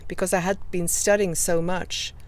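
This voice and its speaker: A woman in her fifties with a Swedish accent, hosting a live video Q&A and gently encouraging her viewers. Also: lowish voice, level tone. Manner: gentle, encouraging, slow and reflective with many pauses. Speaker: host.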